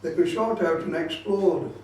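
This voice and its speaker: Man, high voice, informal demonstration talk, insistent explanatory manner, heavy room echo. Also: measured pace, darkish tone. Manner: repeats points insistently, explanatory. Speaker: radio club presenter giving a talk. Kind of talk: informal hands-on demonstration talk with safety warnings. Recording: very echoey room, distant microphone.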